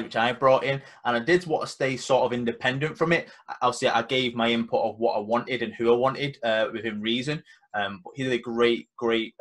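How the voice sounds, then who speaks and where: A man's voice: medium pitch, a bit bright. A young man hosting a casual podcast chat. He is confident and emphatic, and he acts out the conversations he retells. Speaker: podcast host and event organizer.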